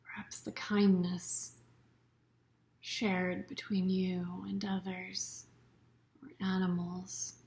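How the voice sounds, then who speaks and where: A woman teaching a guided yoga nidra meditation in a soothing, unhurried way, her phrases often trailing off into soft breath. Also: lowish voice, flat delivery.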